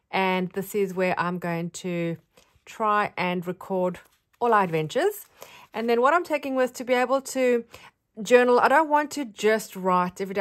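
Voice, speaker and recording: A woman with a South African accent, chatting casually as she shows her craft supplies in a vlog. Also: mid-range voice. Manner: chatty, friendly, rambling with fillers. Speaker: craft vlogger. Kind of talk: casual show-and-tell.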